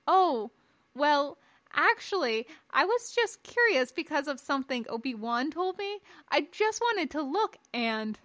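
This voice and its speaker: A woman's voice: sits high, very animated, measured pace, a bit bright, voice pushed up, exaggerated voice. A woman voicing a young boy apprentice, earnest, questioning and hesitant, in a story reading. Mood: sheepish.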